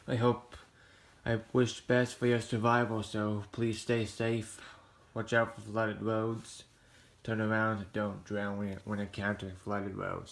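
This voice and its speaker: A man casually reviewing gadgets for a hobby video in a hesitant, rambling, plain-spoken way. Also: fairly low pitch. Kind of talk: casual product review to camera.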